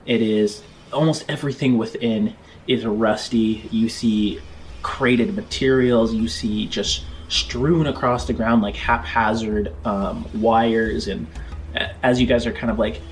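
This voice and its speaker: A man narrating a tabletop space game as game master, improvising scene descriptions in halting, informal phrases. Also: fairly low pitch, steady tone, slight background noise, poor audio. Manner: halting descriptions padded with "like" and "kind of".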